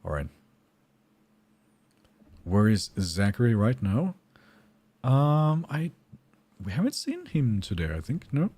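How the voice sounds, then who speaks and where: Slow, musing Swedish-accented man. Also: mid-range voice, huge pitch swings, warm tone, breathy edge, no echo. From Sweden. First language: Finnish. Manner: thinking aloud, musing and answering chat. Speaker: game streamer. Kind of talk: casual live-stream chat while gaming.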